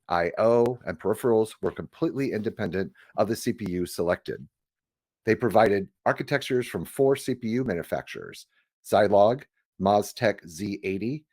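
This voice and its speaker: Low-voiced man reading an audiobook. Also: dark tone, dry recording. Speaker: audiobook reader. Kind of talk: scripted audiobook narration.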